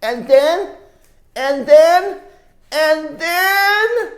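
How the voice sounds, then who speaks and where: A woman voicing an excitable, impatient young schoolboy in a picture-book story, with exuberant delivery. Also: high-pitched, very slow, voice pushed up, full character voice. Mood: excited, erupting. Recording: roomy echo.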